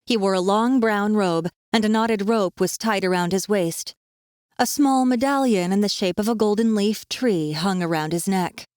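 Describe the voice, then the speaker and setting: A woman reads fantasy fiction as an audiobook narrator. Her pitch is in the middle range for a woman, and the delivery is scripted storytelling. The sound is very dry, with hardly any room in it. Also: normal speed, a little mellow, dry recording.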